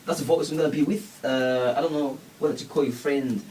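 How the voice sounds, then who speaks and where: A man's voice: mid-range voice, fairly even intonation, fairly quick, a little mellow. A man hosting a casual radio interview, rambling and laughing often. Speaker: radio host. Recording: distant mic with faint background noise.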